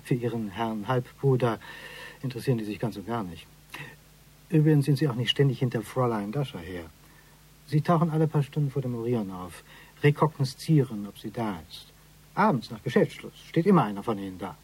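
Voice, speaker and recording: A man's voice: mid-range voice, expressive, brisk, darkish tone, slightly rough, low-quality recording. A man playing an eccentric ex-convict surveillance agent in a radio crime drama, speaking in stilted, flowery phrases with pompous courtesy. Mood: pompous, matter-of-fact. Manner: stilted, flowery speech with misused learned words.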